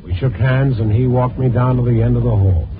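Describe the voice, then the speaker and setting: An actor playing a wry, world-weary night-beat newspaper reporter in a 1950s radio drama, with a terse, hard-boiled delivery. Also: male voice, mid-range voice, a little fast, noisy background, natural voice. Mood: matter-of-fact. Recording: tinny 1950s radio recording.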